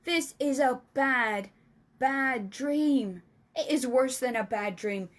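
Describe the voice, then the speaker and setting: A woman voicing a big, excitable male giant from a storybook who cries out in mangled words. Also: high-pitched, takes it slowly. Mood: distressed.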